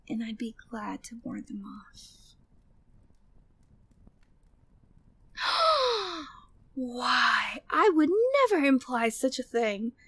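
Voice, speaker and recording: High-voiced flirty, devoted maid played by a woman. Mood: coaxing, flirty. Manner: formal, genteel phrasing, breathy sighs, teasing. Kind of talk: intimate ASMR roleplay, scripted monologue.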